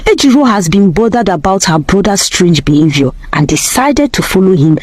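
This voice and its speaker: A woman's voice: mid-range voice, big pitch swings, normal speed, darkish tone, no room sound. A woman narrating a scripted folktale as a storyteller.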